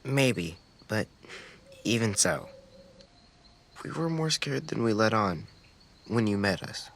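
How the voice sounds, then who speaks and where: A man's voice: fairly low pitch, very animated, slow pace, fairly bright tone, full character voice. A man playing an earnest, thoughtful young boy survivor in a video game, speaking hesitantly. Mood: reflective, sincere. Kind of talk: naturalistic video game voice acting. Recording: noisy outdoor ambience with crickets.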